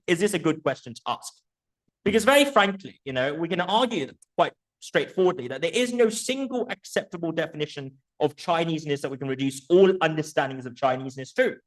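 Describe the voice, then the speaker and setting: A man's voice: on the high side, rough recording. A man presenting an academic book talk in an articulate, erudite manner, posing rhetorical questions and often checking in with the listeners.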